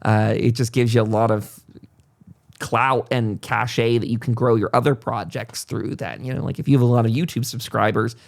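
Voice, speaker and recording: A man hosting a podcast, talking casually in a rambling, reassuring and self-deprecating way. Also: medium pitch. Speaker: podcast host. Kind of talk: casual conversational interview talk.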